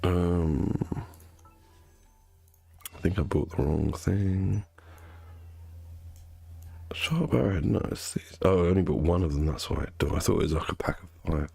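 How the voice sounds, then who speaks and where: Low nasal-voiced man, chatty and rambling. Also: measured pace, gravelly, pauses often, a little mellow, breathy edge. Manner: rambling, self-correcting, chatty. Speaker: game streamer. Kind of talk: casual chat while gaming.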